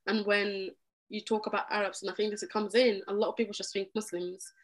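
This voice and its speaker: A young woman from Egypt talks in a podcast interview. She is earnest and animated, and she often breaks off and restarts her sentences as she goes. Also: medium pitch, a little fast, low-quality recording. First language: Arabic. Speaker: podcast guest. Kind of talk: conversational interview.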